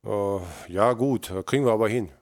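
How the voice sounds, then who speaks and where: A man's voice: fairly low pitch, moderate pace, no room sound. Agreeable older man, dry close sound. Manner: agreeable, nodding along with repeated affirmations. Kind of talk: casual chat between two older workers.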